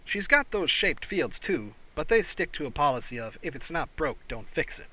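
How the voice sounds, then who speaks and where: Man voicing an excitable woman pilot, very lively. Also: a little high, moderate pace, heavily acted. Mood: proud, amused. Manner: excitable, breezy. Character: exuberant female human astronaut pilot, breezy and proud. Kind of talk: audiobook character voice.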